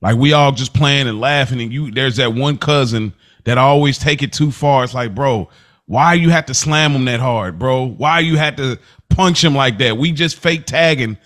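A man co-hosting a TV review podcast in relaxed, laid-back casual chat. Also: mid-range voice, normal speed. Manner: laid-back, laughs often. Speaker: podcast co-host.

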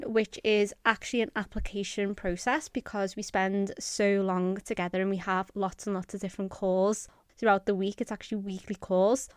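A woman hosting her own podcast, chatty and enthusiastic. Her voice is smooth and resonant at a middling pitch. The sound is dry and close. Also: no echo. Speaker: podcast host and coach. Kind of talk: podcast intro and interview.

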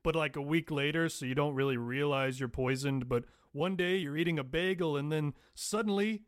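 A man's voice: fairly high pitch, moderate pace, no room sound, studio quality, natural voice. A man playing a self-important, secretive and dismissive fantasy hero in a comedic audio drama, speaking with pompous melodrama. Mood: grave, dramatic.

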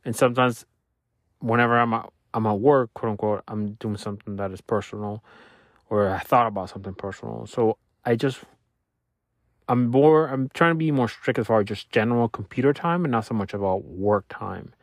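A man in his thirties whose first language is Spanish, talking through his week in a thoughtful, halting way and often restarting his sentences. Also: lowish voice, no room sound, studio quality. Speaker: podcaster reflecting on his week. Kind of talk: casual reflective monologue. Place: Louisiana.

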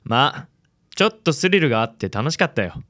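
A man's voice: mid-range voice, big pitch swings, a little fast, a bit bright. A man voicing a suave, genteel businessman in a radio drama. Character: smooth, suave handsome businessman.

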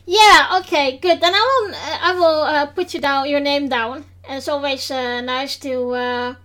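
A woman's voice: high voice, unhurried, fairly bright tone. A woman with a Dutch accent, a non-native English speaker, hosting a casual podcast chat and talking hesitantly with many fillers and restarts. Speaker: podcast host.

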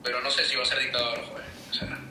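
Bright, blunt man, very echoey. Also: medium pitch, little pitch movement, very fast. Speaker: podcast co-host. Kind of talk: opinionated casual talk. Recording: very echoey, distant mic, faint noise.

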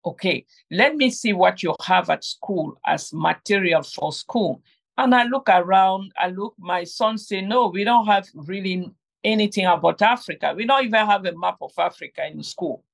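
Gravelly woman, hesitant. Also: medium pitch, moderate pace. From Cameroon. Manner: hesitant, many fillers and restarts. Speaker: guest, game inventor. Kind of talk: conversational interview.

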